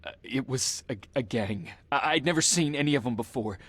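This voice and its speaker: A man playing an anxious, guilt-ridden family man in a video game, husky and stammering as he pleads. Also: medium pitch, brisk, fairly bright tone, dry recording. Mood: shaken. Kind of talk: video game cutscene acting.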